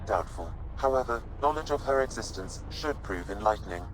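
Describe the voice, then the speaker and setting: A man voicing a calm, analytical AI assistant in a video game, precise and detached. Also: fairly low pitch, fairly quick, background noise, lightly acted. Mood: detached, factual. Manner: precise, detached, matter-of-fact. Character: calm, analytical male AI assistant advising a space explorer.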